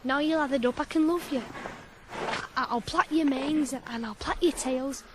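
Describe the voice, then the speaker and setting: A man's voice: very high-pitched, moderate pace, background noise. A teenage male actor playing a headstrong, lonely farm lad in a radio drama, intense and stubborn. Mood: tender, remorseful. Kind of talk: 1980s radio drama acting.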